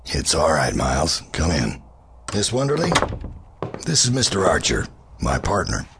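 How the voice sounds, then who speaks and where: An actor playing a cool, reassuring private detective in an audio drama, with a laconic, matter-of-fact delivery. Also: male voice, rumbling low, wildly swooping pitch, a little slow, very noisy, exaggerated voice. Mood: businesslike.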